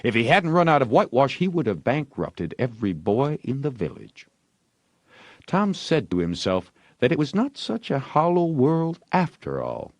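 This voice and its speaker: A man reading scripted storybook narration in a deliberate, expressive way. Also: medium pitch, no echo. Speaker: audiobook narrator.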